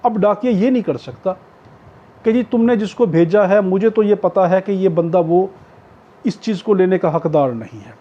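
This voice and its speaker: Male lecturer, Pakistani accent, high mellow voice, explanatory and repetitive, recorded on dull lo-fi audio. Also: animated, moderate pace. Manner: explanatory, repetitive, often checking with "okay". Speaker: law lecturer. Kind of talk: exam-prep law lecture with worked examples.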